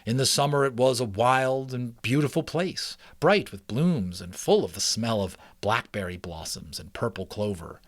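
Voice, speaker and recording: A man narrating a children's novel as a dramatic, expressive audiobook reading. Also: mid-range voice, normal speed, hardly any pauses, no echo. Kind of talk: dramatic children's book reading with chatty asides.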